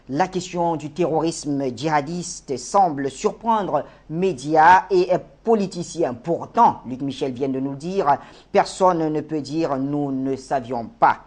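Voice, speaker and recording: A man presenting a current-affairs program in a formal manner, with some hesitant filler sounds. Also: a little high. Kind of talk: studio presentation.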